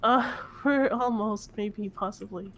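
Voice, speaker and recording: A woman's voice: medium pitch, takes it slowly, fairly bright tone. A woman chatting casually in character in an online roleplay game, playful, repeating and stumbling over her words. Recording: clear background noise.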